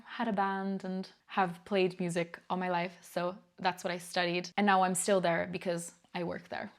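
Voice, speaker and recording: A woman in her twenties from Italy, answering viewer questions in a chatty, candid knitting vlog at home. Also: fairly low pitch. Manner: chatty, candid, laughs easily. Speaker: knitting YouTuber. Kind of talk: casual Q&A vlog.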